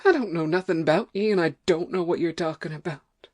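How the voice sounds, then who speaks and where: Casting: grudging, worn-out country wife played by a woman, low voice, rural American accent, complaining and unsympathetic, audio drama. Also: fairly quick, a little mellow, close to an everyday voice. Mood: bitter, stubborn. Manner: folksy dialect, scolding and complaining. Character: weary, ignorant, grudging farm wife, stubborn and cross. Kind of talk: amateur audiobook play acting.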